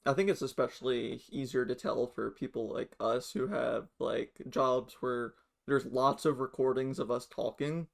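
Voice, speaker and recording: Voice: medium pitch, normal speed, vocal fry, hardly any pauses. A young adult podcast host chatting casually, frank and swearing freely.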